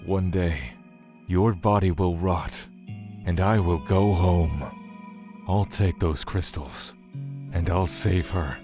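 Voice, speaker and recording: An actor playing a bitter, grieving immortal spirit, speaking in a brooding, solemn way in an audio drama monologue. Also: male voice, low-pitched, takes it slowly, over music. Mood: solemn, weary.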